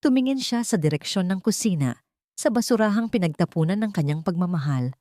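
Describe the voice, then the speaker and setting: Low-voiced Filipino woman storyteller, close and dry. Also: expressive, brisk, warm tone, no room sound. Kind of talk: scripted dramatic narration of a love story.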